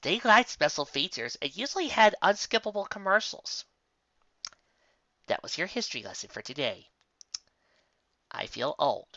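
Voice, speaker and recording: Animated, bright-voiced story reader. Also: medium pitch, no echo. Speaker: amateur fan fiction reader. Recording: slightly dull, close dry recording.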